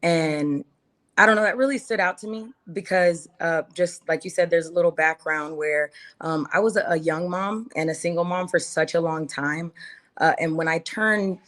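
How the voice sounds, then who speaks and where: A woman in her early thirties with a low voice speaks as a guest on an interview. She tells her own story in a casual, conversational way. She drives points home by repeating phrases and posing rhetorical questions. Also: normal speed, a bit bright.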